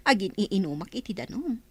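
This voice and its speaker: A woman's voice: mid-range voice, very animated, a little slow, slightly rough. A woman with an Ilocano accent playing a sly, scheming young woman in a radio drama. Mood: sneaky.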